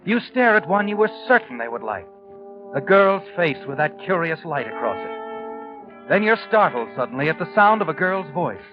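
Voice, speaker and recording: An actor narrating a 1940s radio mystery as a knowing, ominous storyteller with a deliberate, dramatic delivery. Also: male voice, high voice, expressive. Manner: deliberate, dramatic, addresses the character as you. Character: omniscient sinister male storyteller, knowing and ominous. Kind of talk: 1940s radio suspense narration in second person. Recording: dull lo-fi old radio, music behind.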